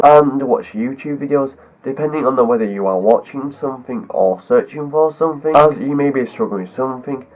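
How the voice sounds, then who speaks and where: A man's voice: medium pitch, darkish tone, poor audio. A man with a cold, talking through a rambling explanation as a video host, stringing clause after clause together. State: has a cold, voice deeper than usual. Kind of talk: scripted talk to camera.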